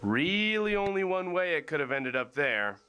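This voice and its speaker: A man's voice: fairly high pitch, very animated, normal speed, pushed and bright, clear, resonant tone, faint music behind. A man playing a sarcastic guardsman interrogating a suspect in a fantasy comedy, pressing with leading questions and accusations. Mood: probing, sarcastic. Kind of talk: comedic dialogue acting.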